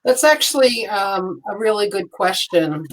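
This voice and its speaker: Slow-talking woman, meandering. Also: mid-range voice, lively intonation, low-quality recording. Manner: meandering, hesitant, many ums. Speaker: mosaic artist and panelist. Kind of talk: casual panel talk. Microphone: video call.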